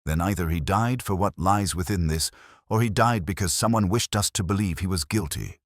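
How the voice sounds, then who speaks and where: A man's voice: low-pitched, dry recording, clean studio sound. A man voicing a heavy, imperious, brooding male detective in an audiobook, with formal, commanding delivery. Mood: grim, deliberate. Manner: formal, commanding, deliberate wording.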